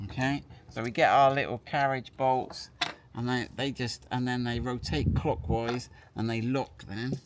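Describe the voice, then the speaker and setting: A man's voice: mid-range voice, fairly even intonation, measured pace. A man giving a casual hands-on product demonstration, chatting and thinking aloud as he works. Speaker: product presenter. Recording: clear background noise.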